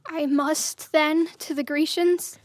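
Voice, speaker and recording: Grief-stricken young lover, played by a woman, very high voice, impassioned Shakespearean delivery, dry sound. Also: steady tone, slow, no room sound. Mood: hurt, mournful. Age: young adult. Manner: impassioned, dramatic verse delivery. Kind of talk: Shakespearean audio drama acting.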